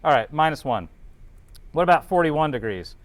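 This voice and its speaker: A man's voice: on the high side, lively intonation, normal speed. A man teaching an informal class, thinking aloud as they work through calculations and checking in with students.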